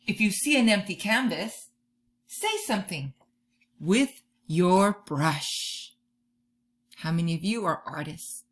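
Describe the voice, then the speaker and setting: Lady teacher reading a picture book to kids, slow n bright, super lively pitch. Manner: expressive, draws out key words, asks listeners questions. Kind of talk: expressive children's read-aloud. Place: bedroom or room at home, indoors.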